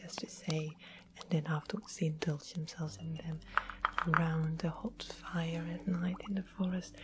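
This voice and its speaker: A woman playing a sweet, sly witch in a medieval fantasy ASMR roleplay, whispering in a slow, musing way with small pauses. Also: fairly low pitch, lightly acted. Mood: gently amused. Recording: clear background ambience noise. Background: soft music and ambience.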